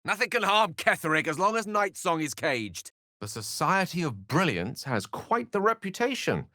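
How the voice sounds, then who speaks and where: A man's voice: high voice, very animated, moderate pace, sharp tone, dry recording, clean studio sound, exaggerated voice. A male actor playing an erudite, wry wizard in a fantasy video game, articulate and theatrical, with a British accent and a streak of melancholy. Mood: scholarly, musing.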